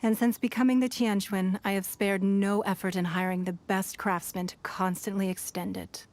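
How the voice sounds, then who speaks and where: A woman plays a powerful, wealthy lady of a fantasy video game. She is shrewd and composed, with a medium-pitched voice. Her speech is formal, measured and courteous. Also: steady tone, a little mellow, natural voice. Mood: gracious, proud. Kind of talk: scripted video game cutscene dialogue.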